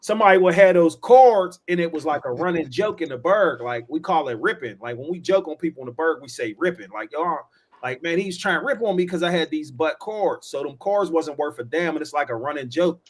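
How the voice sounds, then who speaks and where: A man's voice: a little high, lively intonation, fairly quick. A man in his forties with a Pittsburgh accent, telling loose, slangy stories on a casual podcast and tossing in "bro" and "yo". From Pittsburgh, USA. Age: 40s. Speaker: podcast host. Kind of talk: casual chat.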